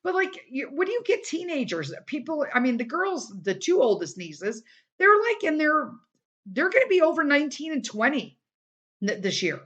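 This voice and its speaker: A woman's voice: high voice, big pitch swings, moderate pace, fairly bright tone, lo-fi sound. A middle-aged woman talks through her own podcast in a loose, candid ramble full of "like" and "you know." Her voice sounds tired and worn from a long day of recording, and she speaks close to the microphone. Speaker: podcast host. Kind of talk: casual solo podcast talk.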